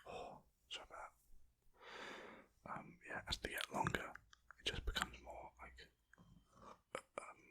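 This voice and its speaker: An ASMR creator speaking in a soft, unvoiced whisper close to a sensitive mic, rambling and poking fun at themself while reading lines aloud. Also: a little slow, long pauses.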